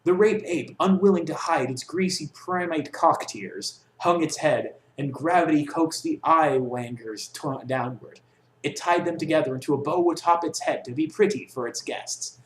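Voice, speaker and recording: A man's voice: fairly high pitch, moderate pace. Young man reading aloud, echoey room. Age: young adult. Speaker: reader. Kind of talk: reading a comic story aloud. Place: small dorm room with block walls, indoors.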